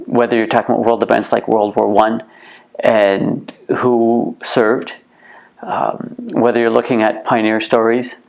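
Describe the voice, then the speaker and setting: A middle-aged man with a Canadian accent speaks earnestly in an interview. His voice has a gravelly edge, and he often repeats a word or two as he restarts a sentence. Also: mid-range voice. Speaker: museum co-founder, interview guest. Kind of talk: reflective interview answers. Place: heritage museum.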